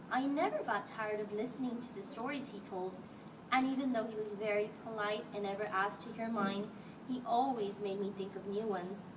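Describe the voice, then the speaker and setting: A woman reading a picture book aloud to children as a teacher, expressively and acting out the dialogue. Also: medium pitch. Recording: distant mic, clearly noisy background.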